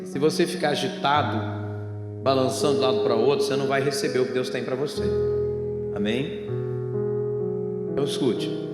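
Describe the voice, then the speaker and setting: A man's voice: medium pitch, fairly even intonation, moderate pace, pauses often. Brazilian guy preaching in church, asks the congregation questions, big reverby hall, instrument playing behind. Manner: didactic, asking rhetorical questions and addressing the congregation directly. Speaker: pastor, preacher. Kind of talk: evangelical church sermon with storytelling and Bible reading. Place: church hall, preaching over a sound system. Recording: echoey hall with long reverb, music behind.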